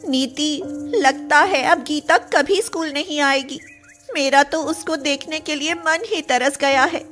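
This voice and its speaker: Young schoolgirl played by a woman, very high voice, emotional, cartoon moral tale with music underneath. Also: a little slow, fairly bright tone. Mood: sad, worried. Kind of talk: acted Hindi moral cartoon story.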